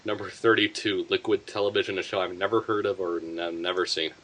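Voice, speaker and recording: Male co-host, low register, opinionated and blunt, casual commentary. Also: flat delivery, brisk, crowd noise.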